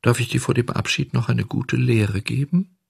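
A delicate little storybook cricket , acted out by a man, ancient and preachy. The voice is lifted high, thin and breathy, with a soft lisp and gentle moralizing. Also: fairly even intonation, normal speed, no echo, studio quality, heavily acted. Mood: gentle, admonishing. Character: tiny, delicate, preachy hundred-year-old talking cricket. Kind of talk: storybook audiobook character voice.

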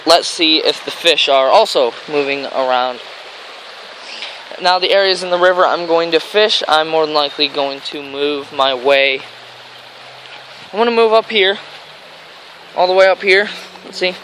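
Bright, higher-pitched American man outdoors. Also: unhurried, long pauses. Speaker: fishing vlogger. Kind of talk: casual outdoor vlog narration. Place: outdoors on a grassy riverbank. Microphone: handheld camera mic. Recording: outdoor handheld camera, stream and traffic noise.

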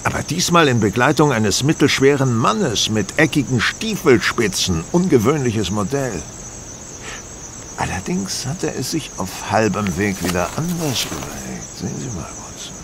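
An actor playing a confident, condescending detective in a German audio drama, lecturing precisely and theatrically. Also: male voice, mid-range voice, big pitch swings, moderate pace, fairly bright tone, a little hiss or hum. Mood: intrigued.

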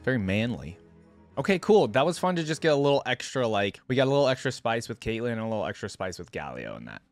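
A man's voice: medium pitch, big pitch swings, fast talker, soft background music. A young man giving casual reaction commentary into a close desk microphone. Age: young adult. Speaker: reaction video host. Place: indoor gaming setup.

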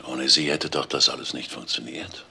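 An actor playing a slick, evasive company lawyer in a crime radio drama, curt and indignant. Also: male voice, rumbling low, fairly even intonation, normal speed, vocal fry, pushed and bright, heavily acted. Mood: cold, curt. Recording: very noisy recording with clicks.

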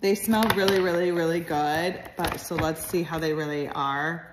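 A woman's voice: low-pitched, fairly even intonation, moderate pace, noisy background. A woman, chatting casually through a phone video as she points things out. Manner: chatty, points out items, lots of "cute" and "like". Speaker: vlogger. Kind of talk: casual shopping vlog chat. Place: store aisles, then a home living room. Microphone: phone held by hand, later at arm's length. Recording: phone video with loud store music and crowd.

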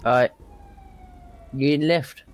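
A man's voice: medium pitch, a little slow. A man chatting casually as a player in an online roleplay game over voice chat.

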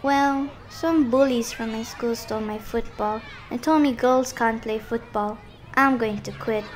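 A woman playing an earnest, childlike, expressive young girl in an animated comic. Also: on the high side, unhurried, slight background noise, lightly acted. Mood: dejected. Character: earnest young girl who loves football. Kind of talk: animated motion comic acting.

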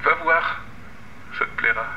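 A man's voice: a little slow, dull audio, noisy background, close to an everyday voice. A man playing a slimy, obsessive anonymous caller in an audio drama, hesitant and cloyingly polite. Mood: taunting. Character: creepy male anonymous caller, slimy obsessive psychopathic harasser. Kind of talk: audio fiction phone drama.

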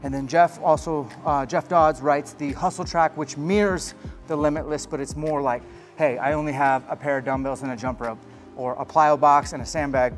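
A man's voice: on the high side, steady tone, moderate pace, soft background music. Upbeat man touring his home gym. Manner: upbeat, plain-spoken, enthusiastic. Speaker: host. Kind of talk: casual gym tour. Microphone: lapel.

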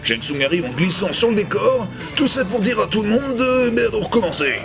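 A man's voice: high voice, big pitch swings, a little fast, creaky. A man delivering sarcastic, crude, scripted comic narration as host of a retro video review. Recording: music behind the voice.

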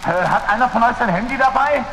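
A man plays an agitated young man lost in a cave in a horror audio play. His voice sits high and presses on insistently. Long echoes trail it, with faint noise behind. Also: animated, fast talker. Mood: urgent. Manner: urgent, insistent. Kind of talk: German audio horror drama acting.

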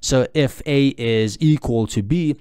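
A man's voice: medium pitch, unhurried, mellow, smooth, no echo. Man explaining code, dry close sound. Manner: repetitive, explanatory, uses "in that case" and "I mean" often. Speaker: programming instructor. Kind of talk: screen-recorded coding tutorial.

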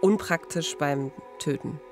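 Low-voiced woman co-hosting true crime. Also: measured pace, a little mellow. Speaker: podcast co-host. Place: radio studio. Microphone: studio microphone on boom arm. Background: background music.

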